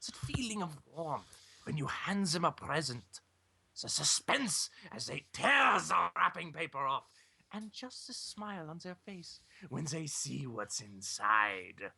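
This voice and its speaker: A man reads a story on a podcast with lively swings in pitch. The voice is bright and gravelly, with a rough husky edge, in an amateur narrating style. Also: unhurried. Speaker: podcast reader. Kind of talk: expressive amateur story reading.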